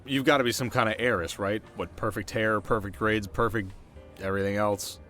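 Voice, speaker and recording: A man's voice: fairly low pitch, steady tone, brisk, a bit bright, lightly acted. Man playing a wry teenage bad boy over music. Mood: cocky, teasing. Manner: casual, wry, sarcastic, sometimes flustered. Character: cocky, teasing delinquent teenage boy from rough side of town. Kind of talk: ASMR audio roleplay, one-sided dialogue to listener.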